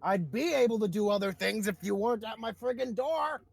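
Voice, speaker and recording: A man's voice: high-pitched, fairly quick, creaky, a little hiss or hum. A man voicing a grumpy, irritable talking door guardian in a tabletop game, complaining in an exasperated manner.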